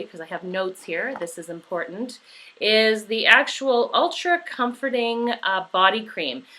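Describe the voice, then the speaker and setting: Woman, middle-aged, from Western Canada, chatty beauty vlogger, low voice with a bright edge, lots of ums and restarts. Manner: chatty, gushing, many fillers and restarts. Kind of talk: casual product review.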